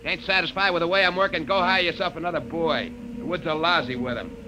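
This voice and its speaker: An actor playing a surly, sarcastic engine-room mechanic in old-time radio science fiction, talking back belligerently in rough working-class grammar. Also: male voice, a little high, quick pace, natural voice. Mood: defiant, angry. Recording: hissy old radio recording.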